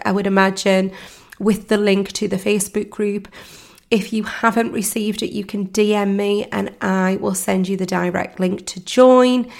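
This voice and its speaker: A woman hosts her podcast with a croaky, hoarse voice from laryngitis. There's a gravelly roughness running under her mid-pitched talk. She chats casually, filling pauses with ums and restarting sentences. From United Kingdom. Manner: warm chatty, many ums and restarts. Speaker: podcast host and mindset coach. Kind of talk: casual solo podcast chat.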